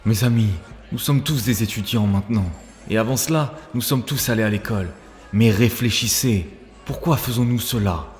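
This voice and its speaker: A man's voice: on the low side, moderate pace, a little mellow, close to an everyday voice. A man voicing an earnest, rebellious young male student who speaks in a preachy, rhetorical way in a cartoon. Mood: impassioned, preachy. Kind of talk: scripted cartoon dialogue. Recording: long hall reverb, music bed.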